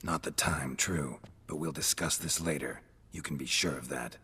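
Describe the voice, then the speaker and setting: An actor playing a gruff, principled middle-aged monster hunter in a video game, terse and drily sardonic, with a gravelly voice. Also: male voice, a bass voice, normal speed, exaggerated voice. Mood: grim, firm. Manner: deadpan, terse.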